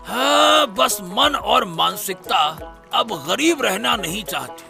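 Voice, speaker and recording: Man acting a gravelly, grumbling cartoon boatman. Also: extremely high pitch, lively intonation, takes it slowly, music in the background, full character voice. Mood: discontented. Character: discontented scheming boatman, grumbling, wanting easy riches. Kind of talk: Hindi cartoon story dialogue.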